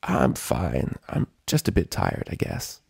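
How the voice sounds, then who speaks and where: Man doing a rough, weary girl athlete pony. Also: low voice, huge pitch swings, takes it slowly, a little mellow, no room sound, clean studio sound, exaggerated voice. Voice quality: rough, labored. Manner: hesitant, weary. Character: tired, ailing, proud young female athlete pony.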